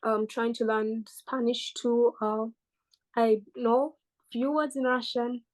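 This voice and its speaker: A woman's voice: on the high side, flat delivery, takes it slowly. Female guest from Kenya, British-sounding accent, chatty and rambling. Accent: British-sounding English. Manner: chatty, rambling, self-deprecating. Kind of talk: casual interview answers.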